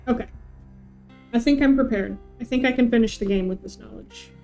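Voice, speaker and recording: High-voiced playful woman game streamer. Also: brisk, darkish tone, faint music behind. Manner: playful, sweary, talks to herself. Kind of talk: casual livestream gaming chat.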